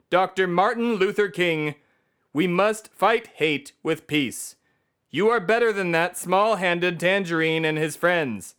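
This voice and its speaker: A man's voice: high-pitched, fairly bright tone, clean tone. A man playing America itself as a bombastic, self-important patriotic orator on a satirical comedy podcast. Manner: pompous, declamatory oratory.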